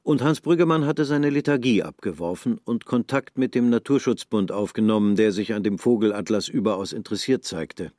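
Low-voiced male narrator, sober and measured. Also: a little mellow, dry recording, studio quality. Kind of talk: literary scripted narration for radio drama.

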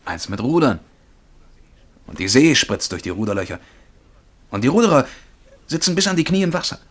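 An actor playing a dreamy, excitable young male bank clerk and would-be poet in a German radio play, fervent and absorbed as he describes things vividly. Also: medium pitch. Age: young adult.

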